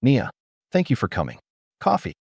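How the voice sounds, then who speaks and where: A man's voice: mid-range voice, wildly swooping pitch, moderate pace, vocal fry, husky, no echo, clean studio sound, heavily acted. A man reading the lines of a calculating corporate executive who sounds falsely jovial and politely threatening.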